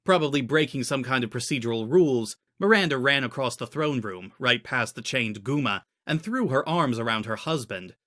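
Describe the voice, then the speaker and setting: A man's voice: mid-range voice, expressive, moderate pace, hardly any pauses, fairly bright tone. A man narrating a story in the style of a dramatic audiobook reading.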